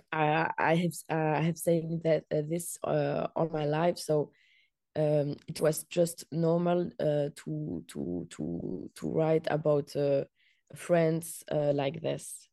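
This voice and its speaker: A woman from Belgium, a filmmaker, answering interview questions in a halting way with many fillers and restarts. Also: sits low, dark tone, dry recording.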